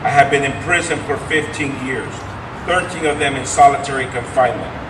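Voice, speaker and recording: A man giving a dramatic reading as a despairing middle-aged prisoner, with grave, measured phrasing. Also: mid-range voice, close to an everyday voice. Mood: bleak, weary. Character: despairing man of forty-three imprisoned in solitary confinement. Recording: echoey, noisy room from far off.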